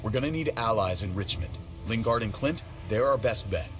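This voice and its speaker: An actor playing an intense, righteous and volatile survivor leader in a dramatic video game. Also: male voice, lowish voice, expressive, normal speed, background noise, natural voice. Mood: grim urgency.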